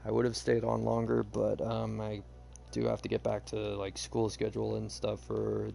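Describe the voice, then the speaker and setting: Young man rambling hoarsely while gaming. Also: lowish voice, monotone, normal speed, dark tone. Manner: rambling, hesitant, many fillers. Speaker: streamer. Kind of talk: casual gameplay chat.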